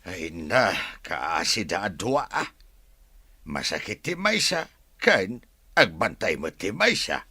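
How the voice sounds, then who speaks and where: A man with an Ilocano accent playing an emotional, plaintive village husband and son in a radio drama, with a gravelly, husky voice. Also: deep voice, big pitch swings, slow pace, sharp tone, no echo, heavily acted. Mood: agitated, loud.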